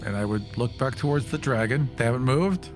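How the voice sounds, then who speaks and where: A man playing a tabletop game, casually narrating what his character does and thinking out loud as he goes. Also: medium pitch, fairly quick, over music. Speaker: player.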